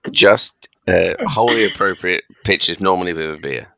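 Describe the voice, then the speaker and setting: A man's voice: low-pitched, expressive, moderate pace, creaky, pushed and bright, dry recording. A man hosting a podcast, joking and rambling in easy banter. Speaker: podcast host. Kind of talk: casual podcast chat.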